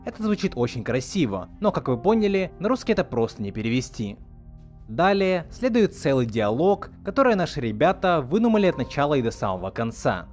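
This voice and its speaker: Animated man narrating a video essay. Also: a little high, fairly quick, soft background music. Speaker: video host.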